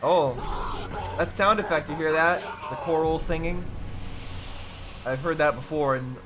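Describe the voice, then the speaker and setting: A man hosting a reaction video, commenting casually and haltingly, often wondering aloud in questions. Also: a little high, background noise. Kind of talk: casual, off-the-cuff commentary on game cutscenes.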